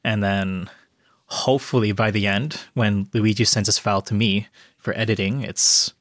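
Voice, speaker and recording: Canadian male podcast host, slightly low voice, loose and rambling delivery. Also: fairly even intonation, normal speed, fairly bright tone, no room sound, studio quality. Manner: rambling, many fillers like "like" and "um". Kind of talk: casual chat.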